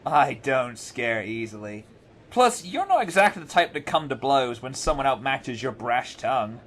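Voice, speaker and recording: Man playing a lively, wry, self-assured agent. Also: medium pitch, moderate pace. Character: wry, self-assured male agent, loyal, dryly teasing. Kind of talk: fan audio drama acting. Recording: faint background noise.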